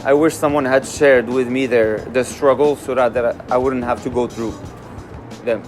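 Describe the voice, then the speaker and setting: A young man from Morocco answers questions in a relaxed, candid way. He leans on plenty of "uh"s as he thinks aloud. His voice sits at an ordinary middle pitch, with a clear music bed underneath. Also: normal speed. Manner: candid, easygoing, uses many fillers. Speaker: interviewee. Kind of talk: casual interview answers.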